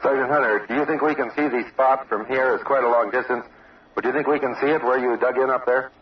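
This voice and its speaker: A man's voice: mid-range voice, fairly even intonation, fast talker. A man working as a radio reporter, conducting an on-location news interview. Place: outdoor observation post. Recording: dull, distant old radio field recording.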